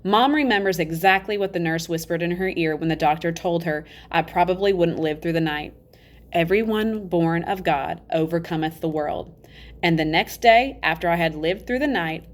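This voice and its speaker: A woman reading a children's novel aloud in an animated, emphatic storybook style, acting out the dialogue. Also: lowish voice, normal speed, few pauses. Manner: expressive, emphatic, acts out dialogue.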